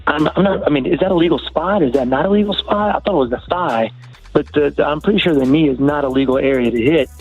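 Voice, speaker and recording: A man's voice: on the high side, fast talker, slightly rough. A male fighter talking casually after a bout in an aggrieved, insistent way. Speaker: professional fighter. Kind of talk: casual post-fight talk. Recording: dull, lo-fi audio with music.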